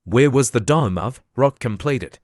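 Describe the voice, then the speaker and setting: A man hosting a quiz, reading out questions and answers in a plain, measured way. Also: mid-range voice, no echo, clean studio sound. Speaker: quiz host. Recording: clear steady background noise.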